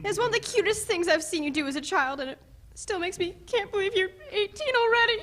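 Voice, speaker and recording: A woman plays a fond, nostalgic mother in a stage play reading. Her voice sits high. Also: expressive, brisk, fairly bright tone. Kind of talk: dramatic reading of a student play.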